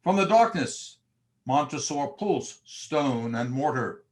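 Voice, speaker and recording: A man's voice: mid-range voice, lively intonation, slow pace. A man narrating a gothic horror drama from a script in a theatrical, storytelling style.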